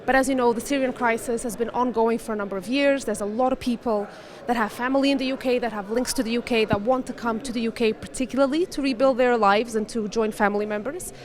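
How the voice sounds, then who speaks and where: A woman's voice: a little high, steady tone, quick pace. A woman, a refugee volunteer explaining her group's work in an interview. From Portugal. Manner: articulate, explanatory. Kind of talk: interview answers.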